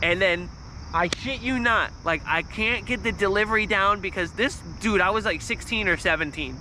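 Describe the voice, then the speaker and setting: A man in his thirties with a squeaky, nasal voice, telling a story in a loose, swearing livestream ramble. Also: normal speed, background noise. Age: 30s. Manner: swears freely, restarts often, volume spikes. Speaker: vlogger on a livestream.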